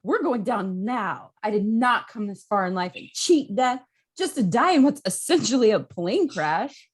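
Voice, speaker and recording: A woman playing a gruff, exasperated male superhero, with dry delivery, at an amateur table read. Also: fairly high pitch, expressive, a little slow.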